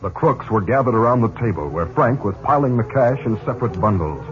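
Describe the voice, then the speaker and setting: A man's voice: on the low side, expressive, a little fast, loud music behind the voice. A man narrating an adventure drama in scripted 1950s radio style. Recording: muffled, very noisy old radio broadcast.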